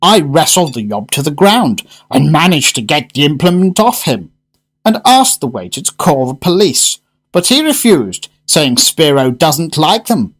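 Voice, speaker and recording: An actor plays a blustering British businessman in a radio drama. His fairly high male voice swings widely in pitch, brisk, chatty and excitable. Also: slightly rough, exaggerated voice. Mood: breezy. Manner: animated, colloquial, grumbling. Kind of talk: fan-made radio drama acting.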